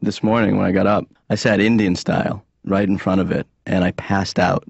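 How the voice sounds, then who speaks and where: Cartoon slacker son, young adult, low voice, casual protesting delivery, close dry sound. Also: male voice, level tone, brisk, creaky, slightly rough, no echo, muffled recording, exaggerated voice. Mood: casual, defensive. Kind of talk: improvised-sounding conversational cartoon acting.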